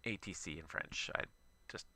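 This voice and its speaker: A man's voice: moderate pace, no echo. A man working as a virtual air traffic controller, giving radio calls in hesitant non-native French with many "euh" fillers, then chatting casually with viewers on a headset mic. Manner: crisp, loud controller calls, then quieter relaxed chat.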